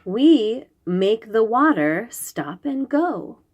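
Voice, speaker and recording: Female storytime reader for preschoolers: slow delivery, very lively intonation, simple explanatory, questioning manner. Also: fairly high pitch. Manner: instructive, questioning, enunciates key words for small children. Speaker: host reading picture books aloud to young children.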